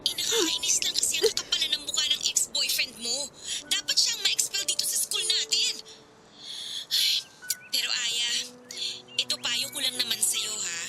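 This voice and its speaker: A woman's voice: high voice, very animated, moderate pace, rough recording. A woman playing a loyal, protective teenage best friend in a Tagalog radio drama, coaxing and insistent. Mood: urging, indignant. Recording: loud music behind the voice.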